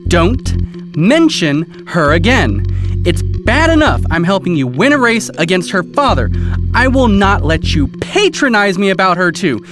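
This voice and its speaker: Casting: male actor as ambitious crooked lawyer, stern and scolding, animated delivery, audio drama, music underneath. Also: high voice, unhurried. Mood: angry, threatening. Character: scheming, ambitious lawyer, an adult man, irritated and defensive.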